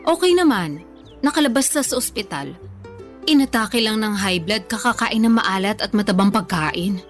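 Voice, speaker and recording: A woman's voice: medium pitch, expressive, normal speed, lightly acted. An actress playing a playful, food-loving young woman in a Tagalog radio drama, chatting casually in Taglish with a Filipino accent and a teasingly defensive manner. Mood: explaining, easygoing. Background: background music.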